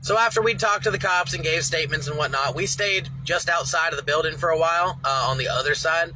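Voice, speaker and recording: A man who drives a truck calmly telling what happened to him in a matter-of-fact way. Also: fairly high pitch, fast. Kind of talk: personal account. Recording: noisy background.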